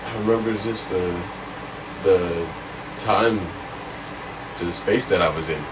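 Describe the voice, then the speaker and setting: A man's voice: deep voice, slow pace, recorded from a distance, noisy background. A man in his late twenties co-hosting a casual podcast, drawling and laid-back. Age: 20s. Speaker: podcast co-host. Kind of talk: casual chat.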